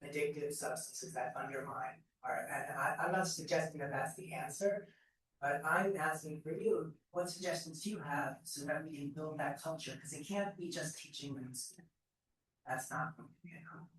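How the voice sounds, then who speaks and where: A man's voice: fairly high pitch, moderate pace, a bit bright. A man who teaches, asking the panel a long, earnest question and often restarting his phrases. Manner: earnest, searching, many restarts. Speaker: audience member asking a question, educator. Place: large event hall. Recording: echoey hall, voice from far off.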